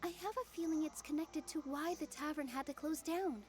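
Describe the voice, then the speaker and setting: A woman voicing a gentle, sweet, earnest young woman in a fairy-tale video game. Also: high voice, moderate pace, close to an everyday voice. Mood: gentle, thoughtful. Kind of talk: video game character acting.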